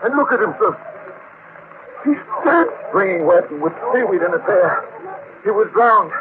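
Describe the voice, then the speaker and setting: An actor playing a fearful, haunted sailor who gives ominous, insistent warnings in an old radio drama. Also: male voice, high voice, animated, moderate pace. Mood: dread. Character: haunted, fearful male sailor, grim prophet of doom. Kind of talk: 1940s radio acting. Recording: scratchy, tinny old-time radio recording.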